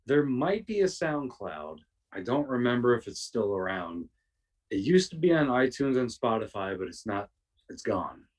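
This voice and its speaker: A man with a raspy voice chatting casually as a podcast guest, self-deprecating and loose with slang and swearing. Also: medium pitch, big pitch swings, moderate pace. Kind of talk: casual interview chat. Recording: distant from the mic.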